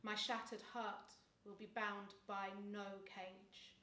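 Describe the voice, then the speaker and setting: A woman performing defiant spoken word poetry in a measured, declamatory delivery. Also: mid-range voice, little pitch movement, far from the mic, noisy background. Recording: roomy sound from off the mic.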